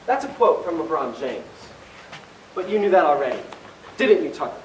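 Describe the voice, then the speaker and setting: A man's voice: on the high side, big pitch swings, normal speed, distant mic. Man acting accusatory young man, stage play, echoey room, faint hiss. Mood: smug, probing. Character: accusatory, theatrical young man exposing a friend. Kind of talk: amateur one-act stage play. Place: indoor stage, recorded from a distance.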